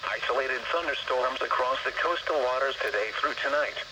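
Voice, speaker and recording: An American man reads a scripted weather bulletin as a radio announcer, in a formal, measured, automated way over a weather radio broadcast. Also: mid-range voice. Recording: noisy weather radio reception.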